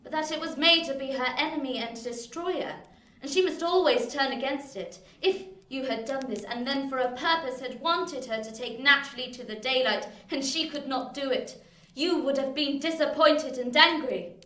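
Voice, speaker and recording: An actress playing a proud, cold young lady in a radio play, with a haughty, measured, formal delivery. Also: high-pitched, bright, forceful tone. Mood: cold resolve. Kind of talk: theatrical radio play acting. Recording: echoing room, distant from mic.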